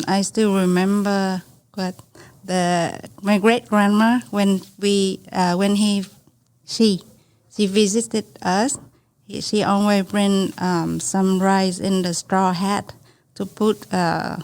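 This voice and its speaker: A woman in her forties with a Vietnamese accent, telling her own story as a podcast guest in halting second-language English. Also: medium pitch, little pitch movement, darkish tone. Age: 40s. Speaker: podcast guest, small business owner. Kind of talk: conversational personal storytelling.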